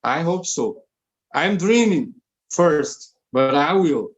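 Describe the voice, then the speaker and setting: A man with a Brazilian Portuguese accent speaking English as a second language, halting and repeating words with many fillers in a casual interview. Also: fairly high pitch, animated, highs cut off. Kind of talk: casual conversation.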